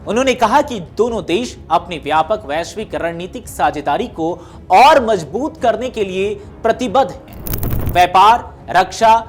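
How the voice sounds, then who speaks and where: A woman reading scripted news narration in a formal, measured newsreader delivery. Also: mid-range voice, expressive. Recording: music behind the voice. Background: background music.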